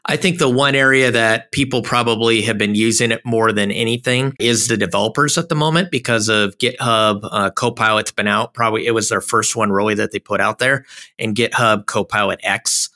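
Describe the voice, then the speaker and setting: Man, low-pitched, bright forceful tone, informal explanatory delivery, consultant on a podcast. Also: fairly even intonation, fairly quick. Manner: informal phrasing with frequent "kinda" and "you know". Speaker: technology consultant guest. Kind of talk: explanatory business podcast talk.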